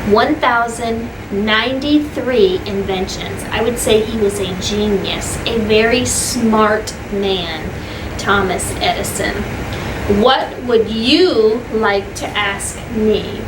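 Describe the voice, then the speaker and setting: Woman, teacher reading to children, simple explanatory asides, repeated points, questions to listeners, distant mic, clear background noise. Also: medium pitch, takes it slowly, reverberant. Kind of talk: children's story read-aloud with explanatory asides.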